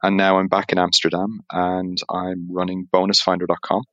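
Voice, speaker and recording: Irish man, podcast guest, low steady voice, dry sound, chatty and rambling. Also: moderate pace, dry recording, lo-fi sound. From Ireland. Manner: rambling, self-correcting, chatty. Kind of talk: casual interview chat.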